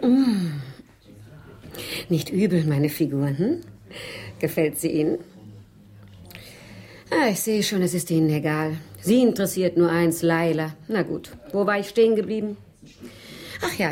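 A woman's voice: on the low side, a little mellow, natural voice. An actress playing a worldly, self-assured woman who teases and probes, in a German radio crime drama. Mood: teasing, chatty. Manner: teasing, self-assured, probing. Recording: faint music under the voice.

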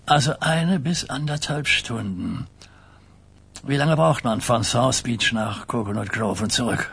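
A man's voice: medium pitch, measured pace, creaky, fairly bright tone, husky, heavily acted. An actor in a radio drama playing an old Sicilian Mafia godfather, coarse and philosophical, who lectures in pondering phrases. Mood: terse. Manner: pondering, lecturing, checks listener follows.

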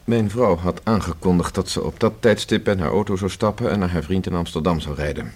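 An actor in a Dutch radio play playing a guarded, haughty gentleman under police questioning, speaking in a stiff, precise, formal way. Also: sits low, fairly quick, husky. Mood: curt, irritated.

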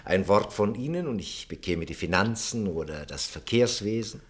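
A man's voice: fairly low pitch, expressive, moderate pace, natural voice. Actor as swooping, servile male government minister. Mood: ingratiating. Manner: obsequious, theatrical. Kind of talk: German radio play acting.

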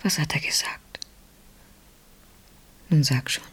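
An actress playing an anxious, insistent wife in a radio drama, in urgent near-whispered speech. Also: female voice, slow pace, lightly acted. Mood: reproachful. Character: Gitta, anxious, insistent wife wanting a child. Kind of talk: 1970s German radio play acting.

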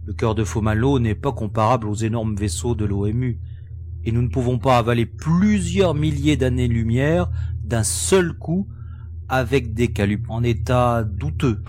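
A man's voice: mid-range voice, moderate pace, a little mellow, a little hiss or hum, natural voice. A man playing a practical, matter-of-fact starship pilot in an amateur science-fiction audio drama, explaining things in technical terms.

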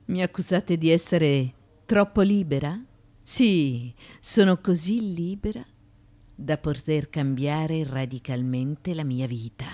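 An actress in a theatrical radio drama playing a proud, defiant former slave and courtesan who addresses judges in a declamatory, deliberate way. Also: female voice, sits low. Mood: defiant, insistent. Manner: declamatory, deliberate, shifting between hushed and forceful.

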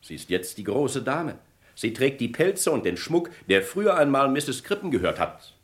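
An actor playing a suspicious, insistent gentleman in a German crime radio play, formal and pointed. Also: mid-range voice, very animated, normal speed. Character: suspicious, insistent gentleman reporting a friend's death.

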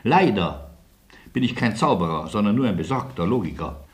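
Husky, wry refugee philosopher. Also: male voice, on the low side, big pitch swings. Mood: wry, insistent. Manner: deliberate, pointed, ironic reasoning. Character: wry, anxious, philosophising middle-aged refugee with old-world courtesy. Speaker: lead character and narrator. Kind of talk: theatrical radio play acting and narration.

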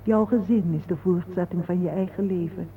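A patient, grieving parent in an old radio play. The voice sits fairly high and speaks in solemn, measured, poetic phrases. The sound is narrow and phone-like, with faint noise. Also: male voice, fairly even intonation. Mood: solemn urging. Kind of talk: radio drama acting.

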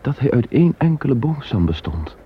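A man's voice: mid-range voice, big pitch swings, a little fast, natural voice. A man playing a commanding yet tender king who soothes his frightened beloved, in an old radio drama. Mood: reassuring. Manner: soothing, solemn, archaic speech. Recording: muffled old radio recording, faint hiss.

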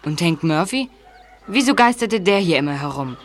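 A woman's voice: on the low side, lively intonation, normal speed, slight background noise, natural voice. A woman voicing a curious teenage boy detective in a radio play, asking and amazed. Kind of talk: amateur radio play acting.

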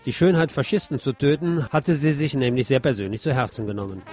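A man hosting a radio show, delivering an expressive theater review as a monologue and addressing his listeners formally. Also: medium pitch, brisk, loud music behind the voice.

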